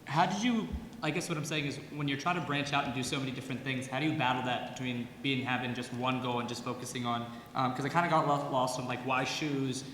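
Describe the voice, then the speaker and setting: A male judge talks quickly at a medium pitch. His questions are informal, encouraging and loosely put. His voice echoes in a hall with faint noise. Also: fairly even intonation, a bit bright. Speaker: competition judge.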